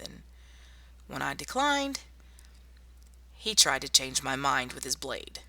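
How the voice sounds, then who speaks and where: A woman playing a tough, wry young survivor in a zombie apocalypse ASMR roleplay, speaking softly and intimately to one listener. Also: very deep voice, creaky, exaggerated voice. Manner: soft-spoken, intimate, addressing one listener. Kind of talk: ASMR roleplay audio drama.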